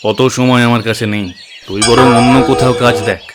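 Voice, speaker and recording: A man voicing a self-important male village headman in an animated storybook, brusque and dismissive. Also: mid-range voice, a little fast, heavy background noise, natural voice. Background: background music.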